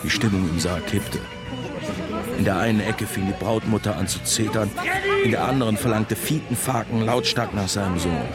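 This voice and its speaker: An actor playing a man who recalls his village youth, narrating a radio drama in measured, literary sentences. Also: on the low side, loud music behind the voice, lightly acted. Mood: measured, sombre.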